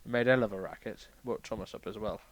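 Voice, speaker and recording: A man playing a crabby, boastful railway boss who reads a storybook aloud with irreverent, deadpan asides. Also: lowish voice, quick pace, background noise, natural voice. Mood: wry storytelling. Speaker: comic storybook reader. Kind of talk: irreverent ad-libbed storybook reading.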